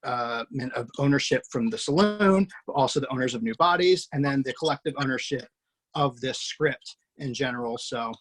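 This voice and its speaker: A man's voice: mid-range voice, animated, normal speed, a bit bright. A man, the writer and host of an online table read, talking casually with many fillers and restarts over a video call.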